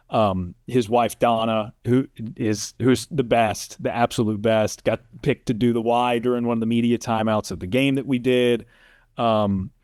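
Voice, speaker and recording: A man's voice: medium pitch, darkish tone, dry recording. Casual male sportscaster, close dry sound. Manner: informal, conversational, checks in with the listener. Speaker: sports play-by-play announcer, interview guest. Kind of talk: casual interview storytelling.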